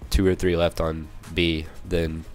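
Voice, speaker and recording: A young man with a cold, hoarse and a little raspy, rambling through casual gameplay commentary with many fillers and restarts. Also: sits low, little pitch movement, a little slow, a little mellow, faint music behind. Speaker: gaming channel commentator.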